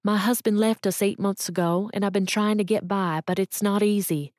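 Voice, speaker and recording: A woman's voice: mid-range voice, fairly even intonation, normal speed, a little mellow, dry recording, studio quality, close to an everyday voice. A woman voicing a desperate jobless mother who pleads through tears, her voice breaking. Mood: weary. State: crying.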